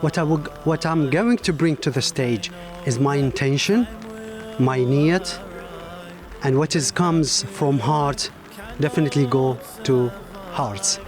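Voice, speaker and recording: A man from Pakistan and Iraq who does not speak English as a first language, answering a host's questions as a competition contestant in an earnest, polite, halting way. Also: mid-range voice, over music.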